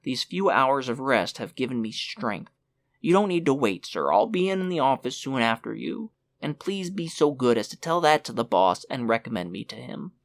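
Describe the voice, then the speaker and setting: A man voicing a flustered, pleading young clerk in an audiobook, hurried and apologetic. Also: mid-range voice, big pitch swings, vocal fry. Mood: anxious pleading. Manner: hurried, excuse-laden, apologetic. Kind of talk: audiobook character voice.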